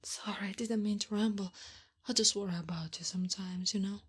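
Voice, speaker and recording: A woman playing a doting, teasing, bossy wife who mothers her husband, in a soft, often whispered ASMR roleplay with coaxing pet names and gentle scolding. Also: medium pitch, fairly even intonation, a little fast, husky. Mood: earnest, concerned. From Italy.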